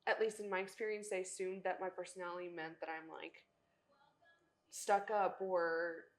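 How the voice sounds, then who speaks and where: A young woman whose first language is Russian, co-hosting a casual chat and telling animated stories. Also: on the low side, normal speed. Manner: animated storyteller, acts out quotes with laughter. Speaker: podcast co-host, former cheerleader.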